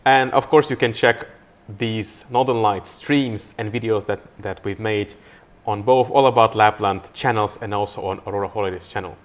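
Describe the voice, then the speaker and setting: A man's voice: lowish voice, moderate pace. A man hosting a live podcast interview outdoors by a river, talking in a friendly, enthusiastic way with frequent fillers. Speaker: podcast host.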